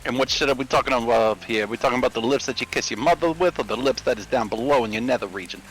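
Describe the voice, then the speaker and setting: A man's voice: mid-range voice, quick pace, a bit bright, soft background music, lightly acted, rough recording. A man putting on a cocky, needling New York mobster with a New York accent in a joking role-play. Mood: mock menacing. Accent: put-on New York. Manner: brash, needling. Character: cocky New York Italian-American mobster wise guy.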